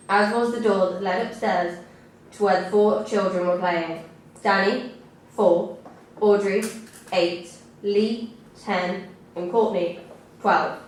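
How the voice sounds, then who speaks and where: A woman reading her own writing at a live literary event, with a measured, deliberate delivery. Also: medium pitch. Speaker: compere and writer reading own work. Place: indoor live event venue. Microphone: distant camera recording. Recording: echoey room recorded from far off, faint noise.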